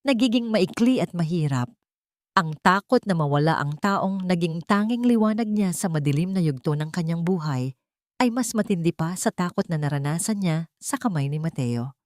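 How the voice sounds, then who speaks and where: Low-pitched Filipino woman narrating dramatic stories. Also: dry recording, clean studio sound. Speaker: storyteller, narrator of audio stories. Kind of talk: dramatic scripted story narration.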